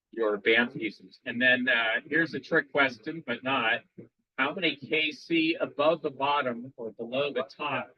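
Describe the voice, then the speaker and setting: A man's voice: mid-range voice, no echo, highs cut off. A man giving a live demo at an amateur radio club meeting, rambling with frequent restarts and quizzing the audience.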